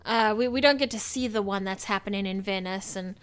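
A woman's voice: mid-range voice, a little fast, a bit bright, clean tone. A woman co-hosting a movie podcast, explaining things informally and thinking aloud. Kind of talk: casual review chat.

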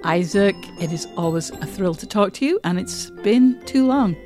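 A woman's voice: medium pitch, lively intonation, normal speed, over music. A woman hosting a podcast in a conversational presenting style. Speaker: podcast host.

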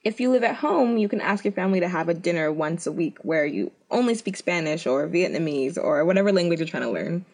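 A woman's voice: fairly low pitch, expressive, brisk, hardly any pauses, a little mellow. A young American woman co-hosting a casual web video, upbeat and slangy. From United States. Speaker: co-host.

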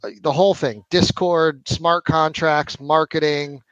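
A man in his forties, a podcast guest, telling his story in a relaxed, casual way with lots of fillers. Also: fairly high pitch, a little slow, dry recording, dull audio. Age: 40s. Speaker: podcast guest, marketing executive. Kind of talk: casual interview chat.

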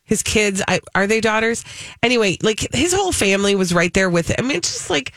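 A woman's voice: mid-range voice, lively intonation, a little fast, a bit bright. A woman co-hosting a radio show with casual, enthusiastic and agreeable banter.

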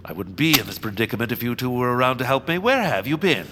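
A man's voice: medium pitch, sing-song, quick pace, natural voice. Give the role of a vain, villainous baron to a man playing a pompous blusterer. His theatrical voice leaps wildly up and down as he bumbles through a comic radio play, with heavy noise behind him. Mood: feigned nonchalance. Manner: pompous, theatrical, swooping delivery. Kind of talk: comic audio drama acting.

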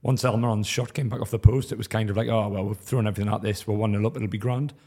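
Male panelist, husky and slightly low, calm analytical football talk. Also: fast talker. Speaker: podcast panelist. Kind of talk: football podcast discussion.

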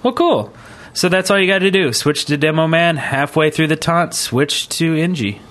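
A man's voice: on the high side, normal speed, a bit bright. A man co-hosting a gaming podcast in a casual, easygoing way, reading listener emails and reacting to them. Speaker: podcast co-host.

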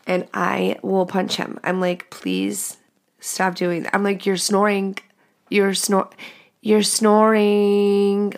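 Raspy, congested woman talking frankly. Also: medium pitch. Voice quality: raspy, nasal and congested from a cold. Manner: blunt, profane and candid, laughing at herself. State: congested with a cold. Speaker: podcast host. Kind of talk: casual solo podcast monologue.

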